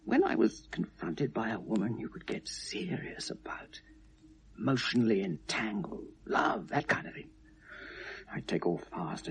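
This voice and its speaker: An actor playing a tormented, obsessive lovelorn British man confessing to police, halting and bitter, shifting from hushed to anguished in a 1970s radio drama. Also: lowish voice, gravelly. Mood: brooding, confessional. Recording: noisy vintage radio play recording.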